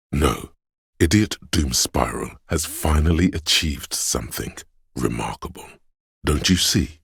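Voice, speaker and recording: A man voicing a pompous, erudite inner voice of artistic imagination in a video game, deliberate and theatrical, with a gravelly, husky voice. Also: a bass voice, no echo, clean studio sound, heavily acted.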